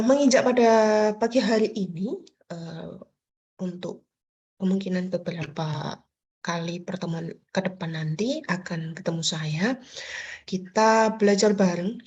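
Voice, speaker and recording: A woman's voice: on the low side, a little mellow, husky. A woman lecturer with an Indonesian accent, nasal and congested from a cold, chatting casually through an online class with many fillers and tag questions over a video call.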